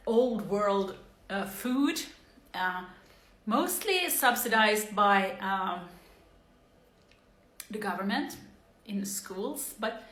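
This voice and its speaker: A woman's voice: medium pitch, fairly bright tone, echoey room. A woman in her forties from Finland, talking casually to the camera in a rambling monologue with many fillers and restarts and wry asides. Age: 40s.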